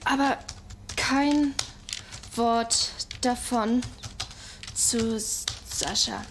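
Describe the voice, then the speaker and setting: An actress playing a chatty young woman, speaking clear deliberate German in a learner sitcom. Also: fairly high pitch, noisy background.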